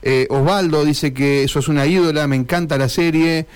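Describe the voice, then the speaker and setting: A man's voice: fairly high pitch, steady tone, moderate pace, smooth. A man with an Argentine accent, a radio host interviewing a guest, often searching for words.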